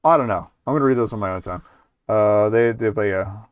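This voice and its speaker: A man's voice: lowish voice, a little fast, smooth, no room sound, highs cut off. A man giving casual live game commentary as a streamer, rambling and hesitant, with muttered asides.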